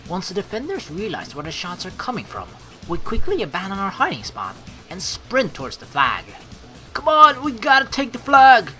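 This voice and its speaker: A woman streamer reading a game's narration aloud, mixed with casual, expressive commentary. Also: mid-range voice. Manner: expressive, animated asides. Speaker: let's play streamer.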